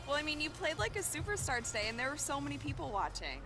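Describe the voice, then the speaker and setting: A woman's voice: sits high, very animated, fast, music in the background. A woman playing an upbeat courtside sports reporter in a video game, friendly and polished in her presenting. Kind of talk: video game cutscene interview.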